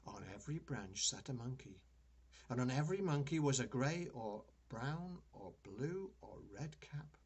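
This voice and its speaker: Grandfather storyteller: older man, husky edge, widely varied intonation, expressive and deliberate read-aloud for young children. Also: mid-range voice, muffled recording.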